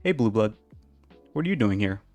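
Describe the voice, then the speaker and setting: A man's voice: fairly low pitch, moderate pace, soft background music. A man voicing a young pony prince, a playful, cheeky, scheming little boy in a storybook reading. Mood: surprised. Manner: excitable, cheeky, childlike.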